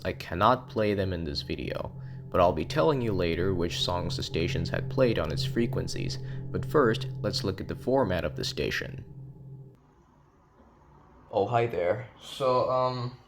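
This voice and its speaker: A man narrating an informal explainer video as its creator. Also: medium pitch.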